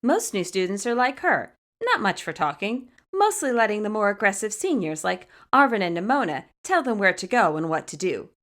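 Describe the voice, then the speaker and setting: A woman narrates a scripted fan story. Her voice sits at a middle pitch and moves with animated intonation. The delivery is expressive storytelling. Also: moderate pace, few pauses.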